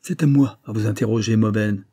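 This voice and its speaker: A man's voice: mid-range voice, expressive, brisk, dark tone, husky, no room sound, voice pushed up. A man voicing a curt, self-important police secretary in an audiobook story, dry and commanding. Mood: brusque, accusing.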